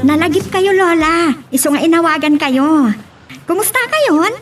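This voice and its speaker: A woman's voice: very high voice, expressive, normal speed, soft background music. A woman playing an affectionate young bride and granddaughter in a radio drama, with a Filipino accent. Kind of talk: Ilocano radio drama acting. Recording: noticeable background noise.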